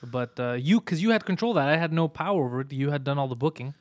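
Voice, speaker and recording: Man, fast talker, casual swearing, storytelling, dry close mic. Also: a little high, lively intonation, no echo. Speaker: comedian. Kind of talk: casual podcast chat.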